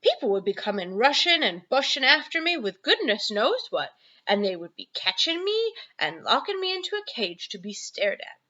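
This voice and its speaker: A woman plays a big friendly giant from a storybook, earnest and excitable. The voice is bright and forceful, leaning hard on drawn-out, muddled words. The recording sounds slightly dull. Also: on the high side, pitched above the performer's own voice, heavily acted. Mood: excited warning. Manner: emphatic, drawn-out words in mangled folksy grammar. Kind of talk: children's storybook read-aloud.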